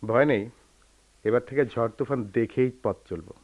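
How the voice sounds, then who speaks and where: An actor playing a proud, brooding, quick-tempered young gentleman in a theatrical radio play. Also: mid-range voice, natural voice. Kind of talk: Bengali radio play acting. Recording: dull, noisy old radio recording.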